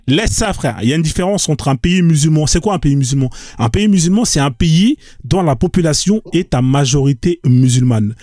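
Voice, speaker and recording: A man's voice: on the high side, lively intonation, a little fast, few pauses, mellow. A man hosting a live debate, pressing insistently, interrupting and repeating his questions.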